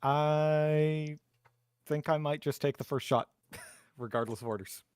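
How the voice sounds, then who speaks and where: Male player at a roleplaying table, casual delivery, slightly high register, close dry sound. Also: measured pace, a little mellow, smooth, dry recording. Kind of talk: casual group chat during a game.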